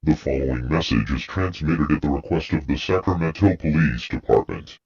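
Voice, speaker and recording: A man's voice: a bass voice, a little mellow, slightly rough, muffled recording. A man's synthetic voice reading a scripted emergency bulletin in a formal, stern way. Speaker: emergency alert announcer.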